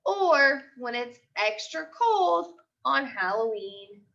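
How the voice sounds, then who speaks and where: A woman teacher reading aloud to young children in an expressive storytelling way, with deliberate pauses. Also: on the high side, vocal fry, muffled recording. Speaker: elementary school teacher.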